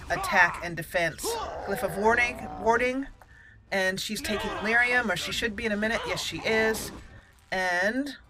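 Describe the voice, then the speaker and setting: A woman's voice: medium pitch, background noise. A woman hosting a gaming channel, giving casual play-by-play and thinking aloud as she narrates each move. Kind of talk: casual gameplay commentary. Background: game music.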